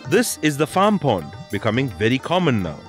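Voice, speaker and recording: A man's voice: medium pitch, huge pitch swings, moderate pace. A man reading scripted instructional narration for a documentary. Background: background music.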